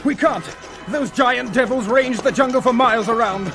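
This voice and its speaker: Rattled professor, very high male voice, urgent stammering delivery, old radio serial, music underneath. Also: normal speed. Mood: anxious, explaining. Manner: agitated, urgent, stammering explanations. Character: frightened male professor, rescued captive expedition leader. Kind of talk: radio adventure serial acting. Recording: old radio drama recording.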